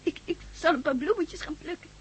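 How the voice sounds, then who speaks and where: A woman's voice: extremely high pitch, a little fast. A woman playing a brave but frightened nine-year-old orphan girl in a 1960s radio drama, chattering childishly with hesitant repetitions. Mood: curious, perkier.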